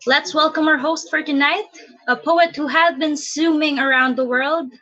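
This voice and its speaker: A woman giving a formal event introduction as an announcer, in muffled low-fidelity audio. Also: steady tone, moderate pace, bright, forceful tone.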